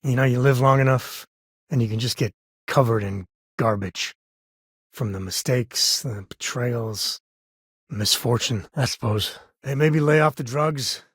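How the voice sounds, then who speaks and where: A man's voice: mid-range voice, measured pace, slightly rough, dry recording, studio quality. An actor playing a wry, good-hearted space explorer and father in a video game, speaking in a casual, folksy way. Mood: weary, bitter. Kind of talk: video game character dialogue.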